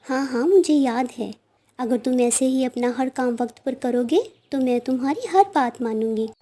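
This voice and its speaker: A woman voices a caring mother sparrow for a children's cartoon. The bird is gentle and affectionate, with a high, mellow and soft-spoken delivery. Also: moderate pace. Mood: warm. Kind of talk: children's cartoon story acting.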